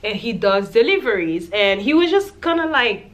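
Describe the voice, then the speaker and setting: A woman's voice: medium pitch, very animated, moderate pace. Young woman vlogger, casual storytime to camera in a small room, candid and chatty. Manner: chatty, candid, lots of "like" and "you know".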